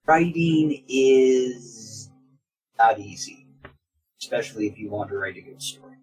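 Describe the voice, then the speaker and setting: A man's voice: medium pitch, animated, unhurried, a little mellow, breathy edge, faint music behind, low-quality recording. A man of thirty chatting casually as a co-host on a talk about a shared hobby. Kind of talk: casual chat.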